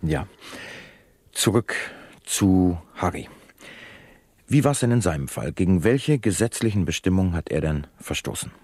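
An actor playing an earnest male radio interviewer in a satirical drama, asking and explaining in a polite, measured manner. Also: sits low, animated, darkish tone, slightly rough, dry recording, clean studio sound, full character voice. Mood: earnest, probing. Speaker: radio host.

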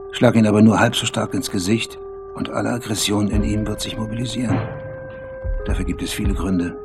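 An actor narrating a radio drama in a measured, reflective, essay-like way. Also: male voice, lowish voice, close to an everyday voice.

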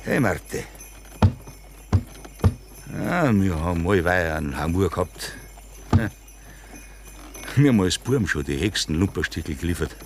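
A man's voice: low voice, very animated, a little hiss or hum. An actor playing a middle-aged Bavarian man who drives his old friend's coffin home. He tells stories with hearty relish and laughs easily, in a 1960s radio play. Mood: reminiscing. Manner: hearty storytelling, quick to laugh.

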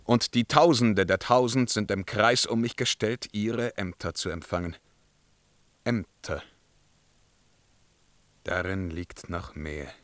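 An actor playing an imperious, self-tormenting emperor in a German radio play, declaiming verse that swings between command and hushed brooding. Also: male voice, fairly low pitch, takes it slowly, long pauses, no room sound. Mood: grand, commanding.

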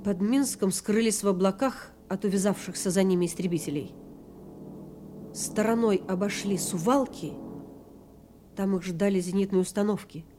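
An actress playing a wartime woman radio operator who narrates in a measured, solemn, wistful way in a radio drama. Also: medium pitch, warm tone, a little hiss or hum, close to an everyday voice.

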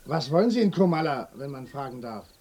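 An actor playing a sardonic, fatalistic village muleteer in a radio play, speaking in a wry, cryptic way. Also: male voice, medium pitch, sing-song, darkish tone. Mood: wry, evasive. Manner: wry, cryptic, drawn-out interjections. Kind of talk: German radio play acting.

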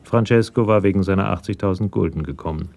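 A man narrating a scripted crime radio play in a measured, matter-of-fact storytelling manner. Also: deep voice, vocal fry, a little mellow.

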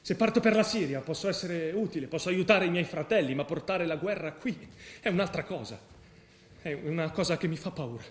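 Troubled young convert, played by a man, earnest and solemn, slightly high male voice, heavy echo, stage-drama delivery. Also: animated, a little fast, natural voice. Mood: doubtful. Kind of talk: theatrical radio drama acting.

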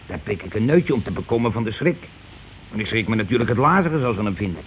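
An actor playing a coarse, cocksure scheming husband in a radio play, talking insistently and impatiently in colloquial speech. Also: male voice, on the low side, very animated, quick pace. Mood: scheming, bossy. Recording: noisy old radio drama recording.

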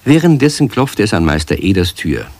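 A man narrating a scripted children's radio play as a calm storyteller. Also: on the low side, a little fast, dry recording.

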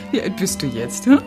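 Bohemian woman, teasing, flirtatious, very lively pitch, radio drama, music behind. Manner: playful, flirtatious, cooing. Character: carefree, affectionate, teasing bohemian woman friend. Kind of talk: German radio drama acting.

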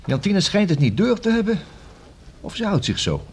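An actor in a Dutch radio play playing a methodical, collegial senior police detective who explains things conversationally, with small hesitations. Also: male voice, high-pitched, big pitch swings, normal speed, a little hiss or hum. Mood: chatty, musing.

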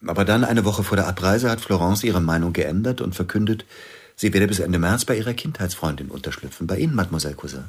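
An actor playing a grieving pianist and widower in a radio drama, speaking slowly and probingly, often sinking to a near whisper. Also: male voice, sits low, lively intonation, slightly rough.

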